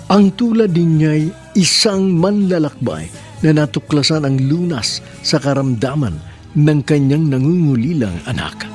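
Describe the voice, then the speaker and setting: Smooth male storyteller over music. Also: fairly high pitch, animated, unhurried, a little mellow. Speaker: narrator. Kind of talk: dramatic scripted narration.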